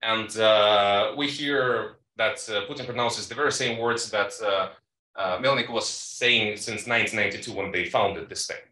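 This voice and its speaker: A man presenting research at a conference panel, talking haltingly with many fillers and quick asides to the audience. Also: on the low side, bright, forceful tone. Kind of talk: academic presentation. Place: conference room. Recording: echoey room, distant mic.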